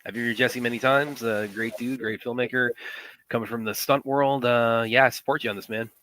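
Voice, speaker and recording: A man's voice: mid-range voice, fairly even intonation, brisk, fairly bright tone, slight background noise, rough recording. A young man co-hosting a live stream, rambling with many fillers and restarts, sniffling from a runny nose. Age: young adult. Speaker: co-host. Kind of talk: casual live stream chat. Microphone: computer headset mic with sound trouble.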